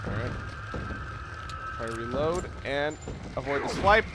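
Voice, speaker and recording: A man's voice: mid-range voice, lively intonation, measured pace, noisy background. A man giving casual, rambling let's play commentary, explaining and reflecting as he plays, with game sounds behind him. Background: video game sounds.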